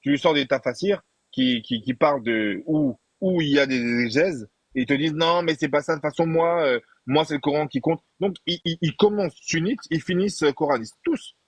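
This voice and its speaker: Man, mid-pitched husky voice, pushy debater who repeats himself, dry close sound. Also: brisk, few pauses, no echo. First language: not Arabic. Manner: insistent, repetitive, talks over others. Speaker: debate participant. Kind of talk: heated live debate.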